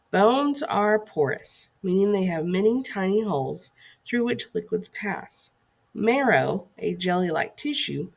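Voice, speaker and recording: Lively, slightly husky woman reading a lesson. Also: medium pitch. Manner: instructive, poses questions to young listeners. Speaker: teacher reading a lesson aloud. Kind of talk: scripted educational read-aloud.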